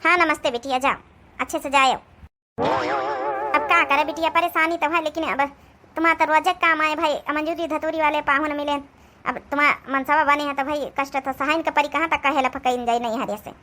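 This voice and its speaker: A woman's voice: high voice, fairly even intonation, normal speed, pushed and bright, slight background noise. A woman voicing an older village mother in a cartoon comedy, resigned and advising, with an Awadhi accent.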